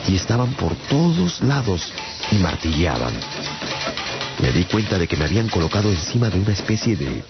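A shipwrecked adventurer recounting his travels for a radio drama. His very low voice is theatrical and wry, a bemused man telling a tall tale. The sound is a little dull, with music underneath. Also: exaggerated voice. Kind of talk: dramatized first-person radio narration.

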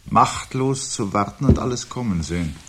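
A man acting a weary, resigned intellectual husband in a 1970s radio play, speaking in a measured, reflective way with a husky voice. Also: fairly low pitch, animated, noisy background, lightly acted. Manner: measured, reflective, pedantic phrasing.

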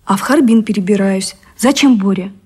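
Casting: actress as refined romantic heroine, breathy mid-range voice, emotional, theatrical, period radio play. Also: female voice, mid-range voice, a little fast, darkish tone, close to an everyday voice. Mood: animated. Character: Anna, refined, ardent woman in love, early 20th century. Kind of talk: Russian radio drama acting.

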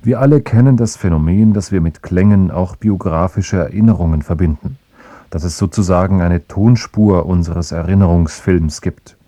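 A male radio presenter reads a formal introduction in a measured, even voice. His voice is low and very dark. The sound is close and dry, with no room echo. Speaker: radio program host. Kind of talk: scripted radio announcement.

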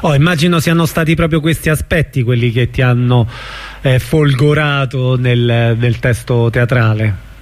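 A man speaking conversationally as a radio interviewer, attentive and quick to agree. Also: medium pitch.

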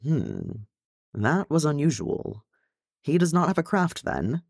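A man's voice: a little high, huge pitch swings, takes it slowly, gravelly, no room sound, studio quality, pitched above the performer's own voice, heavily acted. A man voicing a proud, skeptical older dwarf lord in an audiobook story, with a formal, disapproving manner. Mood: musing.

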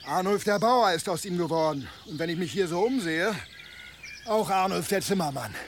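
An actor in a German radio play, playing a wry, weary medieval warrior who has become a farmer. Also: male voice, high-pitched, expressive, moderate pace. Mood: wry, unruffled. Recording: noisy outdoor ambience, birdsong.

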